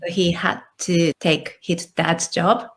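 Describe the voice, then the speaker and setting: A woman in her thirties with a Japanese accent, talking as a guest in a relaxed interview. Her voice sits a little low for a woman. She thinks aloud with small restarts. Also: slow, breathy edge. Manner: thoughtful, with small restarts and light laughs. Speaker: guest, author and illustrator. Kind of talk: casual interview conversation.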